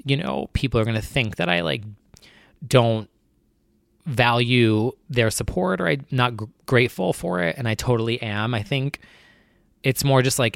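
A man's voice: mid-range voice, creaky, no echo, clean studio sound. A young man hosting his podcast solo, talking casually and candidly in a nervous, rambling way, his voice sounding a bit strained. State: voice feeling strained. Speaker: podcast host.

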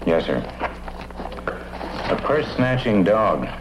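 An earnest, talkative detective sidekick in an old radio police drama. His low voice moves up and down in a lively, folksy way. The sound is dull, with faint noise. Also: slow. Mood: puzzled. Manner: folksy, eager, rambling. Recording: muffled, noisy old radio recording.

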